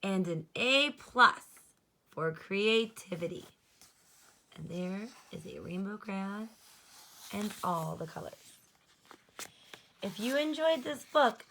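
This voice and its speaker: Bright, slow-paced woman teacher reading aloud. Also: medium pitch, poor audio. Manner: expressive, explains words simply to children. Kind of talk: children's storybook read-aloud with explanations.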